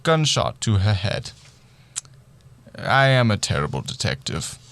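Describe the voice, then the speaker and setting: A man's voice: on the low side, very animated, measured pace, fairly bright tone, heavily acted. A man playing a bumbling, oblivious detective who dramatically narrates a murder mystery in a radio play. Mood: matter-of-fact narration.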